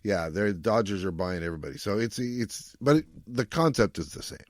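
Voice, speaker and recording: A man's voice: on the low side, animated, fairly quick, dry recording. A middle-aged man co-hosting a podcast, chatting casually as he recaps an episode. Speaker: podcast co-host.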